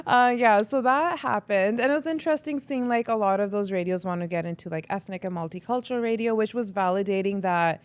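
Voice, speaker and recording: A woman's voice: medium pitch, smooth, dry recording. Young woman guest, casual storyteller, lots of "like", resonant voice on a dry mic. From Canada. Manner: chatty, quick, says "like" often, acts out her own thoughts. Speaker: guest, radio entrepreneur. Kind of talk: casual podcast interview.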